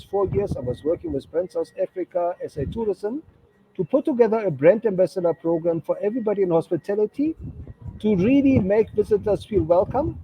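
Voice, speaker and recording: German-accented man, high voice, muffled audio. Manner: explanatory, frequent "right?" tags. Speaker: guest, branding consultant. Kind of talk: conversational interview answers. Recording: dull lo-fi sound with faint noise.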